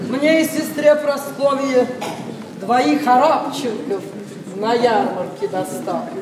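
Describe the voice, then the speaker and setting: An actress playing a blunt, grumbling, haughty noblewoman of sixty-five in a classic verse stage comedy. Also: sits high. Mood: scornful gossip. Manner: haughty, theatrical, drawn-out exclamations. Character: blunt, grumbling, imperious 65-year-old Moscow noblewoman. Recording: echoey noisy hall, distant microphone.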